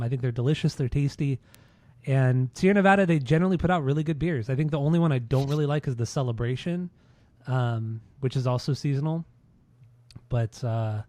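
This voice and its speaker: A man's voice: fairly high pitch, darkish tone, no echo. A man co-hosting a casual podcast with a friend, laid-back and joking. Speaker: podcast co-host. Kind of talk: casual chat. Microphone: desk microphone on a mixer.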